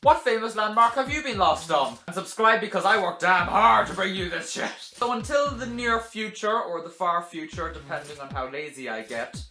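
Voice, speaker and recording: A young man telling a casual vlog story to camera in a dramatic, animated way, with sarcastic, self-deprecating asides. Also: sits high, normal speed, hardly any pauses, faint music behind. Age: young adult. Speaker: video blogger telling a personal story. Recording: roomy echo.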